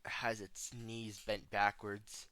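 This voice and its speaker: A man's voice: on the low side, level tone, slow, bright, forceful tone, clean tone, no echo. A man giving casual, joking video commentary in a sarcastic, flippant, slangy way, full of offhand asides. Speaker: video host reacting to analog horror.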